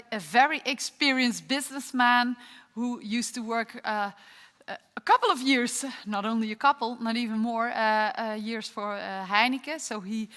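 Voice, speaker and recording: A woman's voice: a little high, a bit bright. A woman with a Dutch accent hosting, introducing a colleague hesitantly with many fillers. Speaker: host. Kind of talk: introducing a speaker. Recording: long hall reverb.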